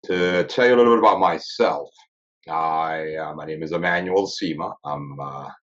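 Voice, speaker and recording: A man in his fifties with an extremely deep, low voice. He speaks slowly and deliberately, pausing often to find his words. The sound is dull and lo-fi. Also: a bit bright. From Ethiopia. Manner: measured, deliberate, often pausing mid-sentence. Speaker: sleep medicine physician guest. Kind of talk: interview answers. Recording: dull lo-fi recording.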